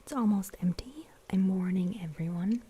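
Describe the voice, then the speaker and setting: A woman streaming ASMR, chatting casually to viewers in a soft, breathy whisper close to a sensitive microphone. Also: medium pitch, moderate pace.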